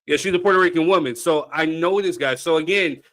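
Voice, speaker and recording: A man's voice: on the high side, a little fast, a bit bright. An American man hosting a casual open discussion, conciliatory and often repeating himself. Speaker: host.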